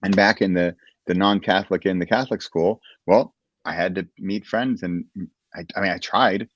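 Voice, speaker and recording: A very low-voiced American man talks through his past in a loose, rambling way, often restarting his sentences. His recording sounds dull and dry, with no room around it. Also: steady tone, moderate pace, dry recording. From United States. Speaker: guest. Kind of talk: casual interview chat.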